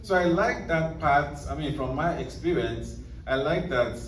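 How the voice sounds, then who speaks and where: A man's voice: a little high, unhurried. A man, an author, talking casually to an audience, rambling with many fillers and restarts. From Nigeria. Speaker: author at a book presentation. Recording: roomy hall, distant mic, faint noise.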